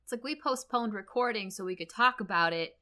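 A woman's voice: on the high side, a little fast, bright, forceful tone. A woman co-hosting a podcast, chatting casually in a playful, wry way. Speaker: podcast co-host. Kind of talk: casual banter.

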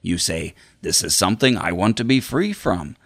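A man's voice: low-pitched, sing-song, normal speed, creaky, voice pushed down, heavily acted. A man performing a dramatic reading as a deliverance preacher, solemnly instructing and warning against the devil with theatrical emphasis. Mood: earnest warning.

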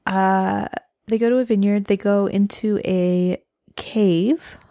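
A woman's voice: mid-range voice, fairly even intonation, slow pace, mellow, no echo, clean studio sound. A woman co-hosting a podcast, chatting as she walks through a show's plot step by step. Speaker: podcast co-host. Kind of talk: casual recap chat.

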